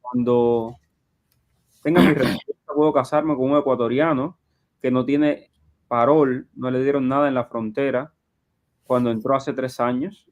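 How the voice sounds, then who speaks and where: Male live Q&A host, medium pitch, conversational, reads viewer questions out loud. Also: steady tone, measured pace, highs cut off. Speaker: live show host and journalist. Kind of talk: live Q&A, reading audience questions aloud.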